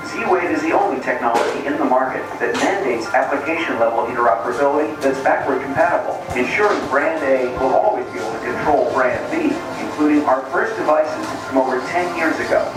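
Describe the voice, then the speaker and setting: A man reads a polished promotional script over a clear music bed. The video plays through a speaker in a room, so his voice comes from across the space, wrapped in heavy echo. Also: mid-range voice, a little fast, few pauses, recorded from a distance. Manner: smooth, polished delivery. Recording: video played in a room, heard from afar with strong echo and music.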